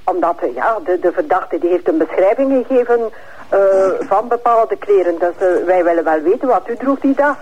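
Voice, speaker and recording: A man with a Flemish accent, asking questions in a halting, polite way as a caller on a dull phone line. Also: sits high, expressive, slightly rough.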